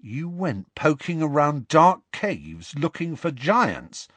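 A man's voice: sits high, expressive, moderate pace, no echo, voice pushed up. A man voicing a curious, awed teenage schoolboy asking questions in an audiobook.

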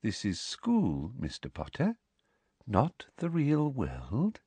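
A man's voice: medium pitch, wildly swooping pitch, takes it slowly, fairly bright tone, no echo, voice pushed up, heavily acted. A man voicing a smug, sickly-sweet middle-aged female teacher from a storybook, with a honeyed trill and a precise, dismissive manner. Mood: coolly dismissive. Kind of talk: audiobook character voice.

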